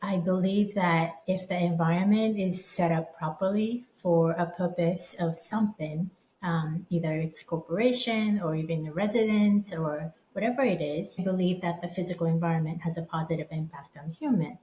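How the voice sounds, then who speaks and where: A woman's voice: on the low side, moderate pace, hardly any pauses, dark tone. A woman speaking as a podcast guest, reflective and thoughtful, often murmuring agreement as she listens. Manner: thoughtful, frequent affirming murmurs. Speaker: guest, design firm co-managing director. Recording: echoey room with faint background noise.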